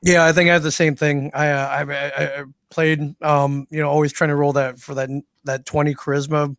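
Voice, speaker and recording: Gravelly, halting male co-host. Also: on the high side, little pitch movement, fairly bright tone, no echo, clean studio sound. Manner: hesitant, repeats words, laughs lightly. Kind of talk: casual chat.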